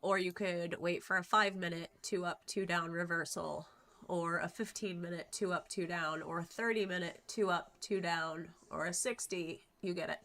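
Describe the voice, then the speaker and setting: A woman's voice: fairly low pitch, steady tone, moderate pace, fairly bright tone. Stuffy-nosed woman teaching trading. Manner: chatty and informal, frequent ums and throat clearing. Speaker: stock trading educator. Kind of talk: casual chart-analysis lesson.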